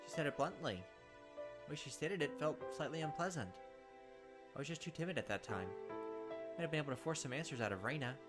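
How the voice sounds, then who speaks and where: Fast-talking male let's play reader. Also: fairly high pitch, lively intonation. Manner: dramatic reading broken by joking asides. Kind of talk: reading game text aloud with reactions. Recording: game music playing loudly behind.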